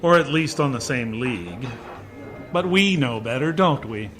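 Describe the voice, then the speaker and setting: A man's voice: mid-range voice, expressive, measured pace, a bit bright, faint music behind. A man acting in a radio play as a stiff, prickly military base commander, stern, clipped and formal in speech. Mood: gruff, easing. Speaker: actor.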